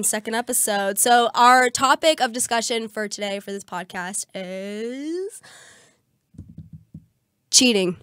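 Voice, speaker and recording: A young woman co-hosting a podcast in casual chat, her voice raspy from a cold, giggling often and using many fillers. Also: a bit bright, dry recording, clean studio sound. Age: young adult. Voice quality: slightly deep and raspy. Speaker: podcast co-host.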